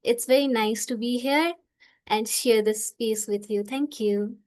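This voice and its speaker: A woman's voice: a little high, unhurried, a little mellow. A woman in her twenties from Nepal, a medical student speaking earnestly as a panelist in an online discussion, with frequent fillers and phrasing. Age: 20s. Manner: earnest, uses "like" often, non-native phrasing.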